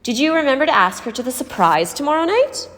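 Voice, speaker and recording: A woman voicing a gentle, wistful, motherly woman who cares for street children in a storybook. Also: high voice, sing-song, fast, voice pushed up. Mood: cheerful, asking. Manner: reminiscing, fond, motherly. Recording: echoey hall-like reverb.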